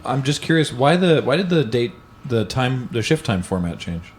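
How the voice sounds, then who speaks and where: Man, lively pitch movement, mid-range voice, emphatic casual talk, faint background noise. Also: normal speed. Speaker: livestream host. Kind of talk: casual chat.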